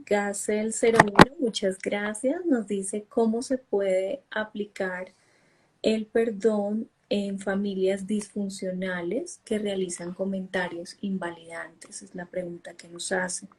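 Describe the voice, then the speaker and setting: A woman psychologist co-hosting a live online talk, calm and measured, reading out questions from the audience. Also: mid-range voice, breathy edge, rough recording. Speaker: psychologist co-host. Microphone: video call.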